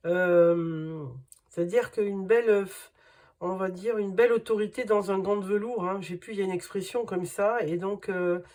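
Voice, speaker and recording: A woman's voice: medium pitch, steady tone, moderate pace, darkish tone. A woman with a cold and a nasal voice giving a tarot reading to her viewers, hesitant and full of fillers. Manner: hesitant, many fillers and restarts. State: has a cold. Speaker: tarot card reader.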